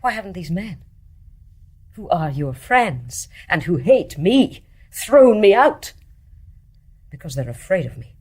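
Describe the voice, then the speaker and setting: Low, husky, fervent embittered nurse. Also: female voice, sing-song, takes it slowly, pauses often, a little mellow, heavily acted. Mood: cold accusing. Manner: fervent, scornful, emphatic delivery. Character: embittered, devoutly loving nurse, accusing and anguished. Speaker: actor in a radio play. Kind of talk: intense stage-play dramatic acting.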